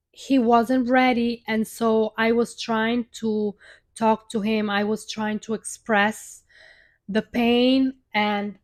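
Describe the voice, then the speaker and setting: A young woman with an Italian accent, a native Italian speaker, telling her own story on a live stream in an earnest way, repeating and restarting phrases as she goes. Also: medium pitch. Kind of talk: personal storytelling monologue.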